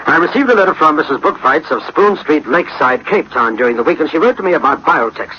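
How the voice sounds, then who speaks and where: A man's voice: fairly high pitch, animated, fast. A man reading a scripted radio advertisement as the sponsor's announcer. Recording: dull, noisy old radio recording.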